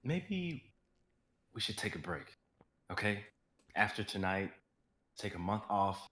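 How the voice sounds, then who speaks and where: A steady, patient male assistant who talks gently and soothingly, read by a man. His voice sits a little low. This is an amateur live dramatic reading. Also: slow, roomy sound, exaggerated voice. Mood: gentle. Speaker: actor in a live script reading.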